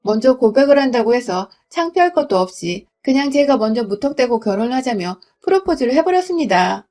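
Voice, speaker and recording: A young woman from Gwangju narrating a first-person story in a smooth, confiding reading voice. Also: on the high side, very animated, a little fast, warm tone. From Gwangju, South Korea.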